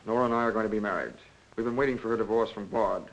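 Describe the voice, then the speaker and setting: An actor playing a protective attorney in a 1940s crime film, formal, firm and defensive. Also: male voice, on the low side, very fast, natural voice, low-quality recording. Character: protective, smooth-mannered attorney and fiance. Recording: hissy old 1940s film soundtrack.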